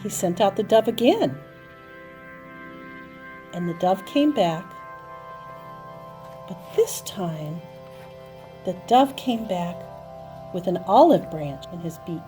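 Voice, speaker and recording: A woman telling a story to young children in an expressive, dramatic manner, with emphatic repeated phrases. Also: medium pitch, slow, pauses often. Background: music and sound effects.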